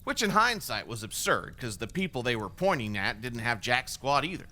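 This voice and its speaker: A man's voice: mid-range voice, very animated, moderate pace, sharp tone. An actor playing a remorseful, bitter former extremist gunman giving testimony in an audio drama, in a blunt, wry, profane conversational way.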